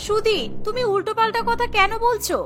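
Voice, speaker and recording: A woman plays a young daughter-in-law in a cartoon, caring and earnest. Her voice is very high-pitched and bright. There is soft music behind her. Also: a little fast. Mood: hurt, pleading. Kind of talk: cartoon voice acting.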